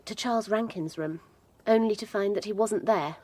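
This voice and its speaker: An actress playing a poised, observant, discreet young British gentlewoman in a radio drama, composed and precise. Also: medium pitch, moderate pace, slight background noise, close to an everyday voice.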